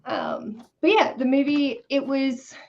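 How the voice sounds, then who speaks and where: A woman's voice: high voice, slow, lo-fi sound. A woman chatting casually and candidly, with informal, slangy wording, as a co-host of a book discussion. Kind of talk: casual chat.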